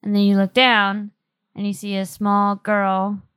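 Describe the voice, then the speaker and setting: A woman's voice: mid-range voice, completely flat delivery, unhurried, fairly bright tone, smooth. A woman narrating a tabletop role-playing game as game master.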